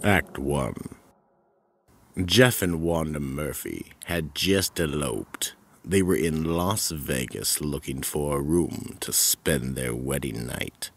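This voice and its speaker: A man playing an ominous, deliberate narrator of a radio mystery drama, with a gravelly, husky voice. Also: very deep voice, animated, slight background noise, heavily acted. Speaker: narrator and host.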